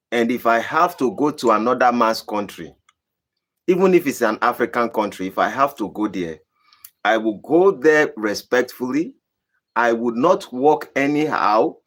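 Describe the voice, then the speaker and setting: A man from Nigeria with a Nigerian accent, hosting a live stream and answering viewer questions in a deliberate, emphatic way. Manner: deliberate, emphatic, rising to high emphatic bursts. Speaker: channel host.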